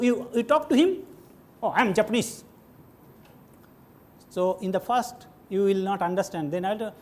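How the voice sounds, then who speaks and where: High-voiced Bengali-accented man lecturing. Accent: Indian English, Bengali. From India (West Bengal). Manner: conversational, digressive, asks the audience questions. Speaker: guest lecturer. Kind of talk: classroom lecture. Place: large lecture hall with stage.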